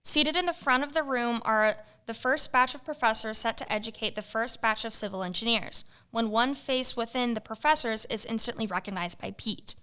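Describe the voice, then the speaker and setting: A woman's voice: a little high, normal speed, hardly any pauses, bright, forceful tone, clear, resonant tone. A woman narrating an amateur audio drama, reading stage directions in a matter-of-fact way.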